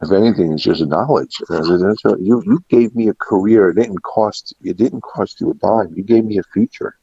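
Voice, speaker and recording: Middle-aged male, low register, reflective anecdotal storyteller in an informal interview; dull, roomy sound. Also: fairly quick, creaky, dark tone, husky. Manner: reflective, anecdotal, quoting past conversations. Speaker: restaurant and catering veteran being interviewed. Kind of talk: personal storytelling in a casual interview. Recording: muffled, echoey room recording.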